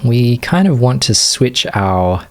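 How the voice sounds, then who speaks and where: A man leading a guided meditation as a teacher, giving unscripted, gentle instruction in short phrases with long pauses. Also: mid-range voice, sing-song.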